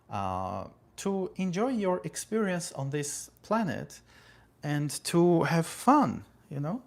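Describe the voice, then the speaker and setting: Armenian-born guy on a livestream, chatty, thanks viewers by name, lots of uhs, middle pitch. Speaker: livestream host. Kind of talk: casual livestream talk reading viewer comments. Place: home in California.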